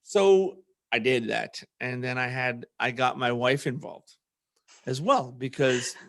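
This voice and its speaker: A man's voice: mid-range voice, slow pace, vocal fry, fairly bright tone. A man with an American accent hosting a podcast, telling personal stories in a casual, earnest way. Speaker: podcast host; former army platoon leader.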